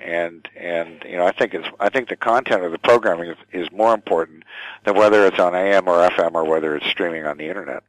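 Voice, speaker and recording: An older man answering interview questions thoughtfully and haltingly, often repeating words as he goes. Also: deep voice, dry recording, low-quality recording. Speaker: radio station general manager, interview guest.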